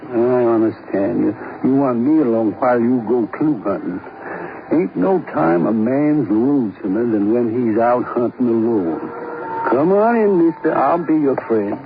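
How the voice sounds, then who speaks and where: An actor playing a gruff, talkative grill owner with folksy, rambling talk in a 1950s radio drama. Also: male voice, mid-range voice, animated, measured pace. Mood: wry, folksy. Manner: rambling, folksy, colloquial drawling phrasing. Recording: muffled, noisy 1950s radio recording.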